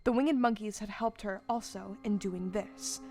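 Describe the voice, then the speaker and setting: A woman's voice: mid-range voice, normal speed, natural voice. A woman playing the storyteller of a fairy-tale audio play, narrating in a theatrical, scripted way. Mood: storytelling. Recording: live stage show with loud music underneath.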